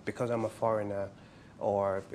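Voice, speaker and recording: A man's voice: on the low side, little pitch movement, moderate pace, a little mellow, soft background music. A man in his forties from England, a practitioner of Chinese medicine, talking casually in an interview at his clinic, explaining his work with light humor. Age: 40s.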